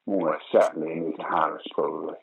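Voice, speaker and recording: A man's voice: very deep voice, flat delivery, fast talker, gravelly, slightly rough, narrow, tinny audio. An older man, answering interview questions conversationally in a wry, opinionated, emphatic way. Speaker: interview guest, actor, writer and director of pantomime.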